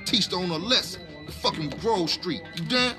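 An actor playing a cocky, hot-tempered gangster who talks in brash, mocking slang in a video game. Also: male voice, high voice, expressive, fairly quick, over music. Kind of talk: video game cutscene acting.

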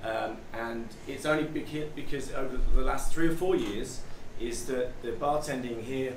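A middle-aged man with a British accent gives an informal masterclass talk from behind a bar. He feels his way through sentences, repeating words. His voice sits at a medium pitch and is picked up from a distance in a roomy, noisy space. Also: moderate pace. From United Kingdom. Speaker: bartender and artist giving a masterclass. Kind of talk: informal live talk to a group. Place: small bar, indoors.

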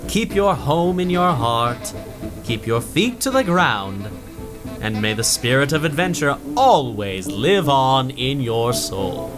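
A slow old-time radio host. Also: male voice, medium pitch, lively intonation, music in the background. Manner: measured, announcer-like. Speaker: radio show host in the drama. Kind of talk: 1930s radio announcer acting.

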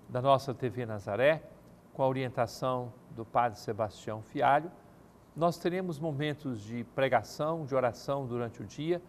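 An older man speaking as an archbishop in a TV studio, answering viewers' questions in a measured, formal pastoral manner. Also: mid-range voice, a little hiss or hum. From Brazil. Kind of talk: televised pastoral talk. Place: TV studio set. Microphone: studio mic, seated at desk.

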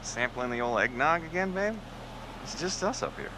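Man doing cocky young boyfriend, joking, lively pitch, loud outdoor ambience. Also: moderate pace, background noise, natural voice.

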